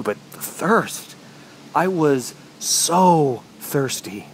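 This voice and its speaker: Injured, panicked survivor played by a man, radio horror drama, slow anguished first-person narration with big pitch swings. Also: a little hiss or hum, low-quality recording. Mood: exhausted, suffering. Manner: halting, anguished, theatrical delivery. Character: injured, panicked man trapped alone in wilderness, recalling his ordeal. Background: music.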